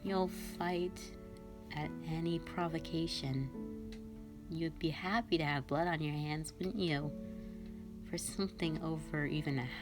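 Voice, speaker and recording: A woman's voice: low voice, fairly even intonation, measured pace, music in the background. A woman voicing a sadistic, vengeful female fox spirit in a scripted audio drama, theatrical and condescending. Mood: contemptuous.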